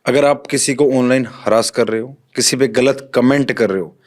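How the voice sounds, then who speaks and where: A man's voice: medium pitch, fairly even intonation, moderate pace. A man speaking as a police officer guest in an interview, explaining things step by step with direct examples to the listener.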